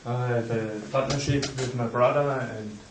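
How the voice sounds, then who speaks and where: A man's voice: medium pitch, steady tone, normal speed, noisy background. A man in his twenties from Austria introducing himself in plain words. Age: 20s. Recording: echoey room from a distance.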